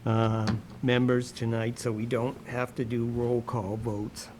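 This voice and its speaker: A man chairing a town commission meeting, speaking slowly with many pauses and fillers. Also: mid-range voice, flat delivery, background noise. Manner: slow, hesitant with many fillers. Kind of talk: public meeting.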